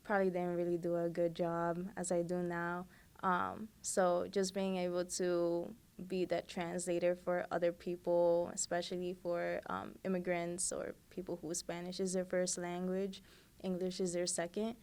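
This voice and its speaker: A woman's voice: on the low side, monotone, moderate pace, darkish tone, smooth. A young woman speaking casually and reflectively as a student being interviewed.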